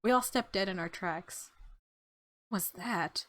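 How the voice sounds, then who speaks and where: A woman's voice: medium pitch, slow, a bit bright. A woman hosting a let's play, reading visual novel narration aloud expressively and adding informal reactions. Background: game music.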